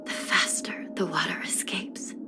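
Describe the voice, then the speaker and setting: A woman playing a weary, grave young judge of the underworld in a video game, speaking softly in measured, formal phrases. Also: whispered, lightly acted. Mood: calm, wistful. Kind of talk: scripted video game character voice lines. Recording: music under the voice. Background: background music.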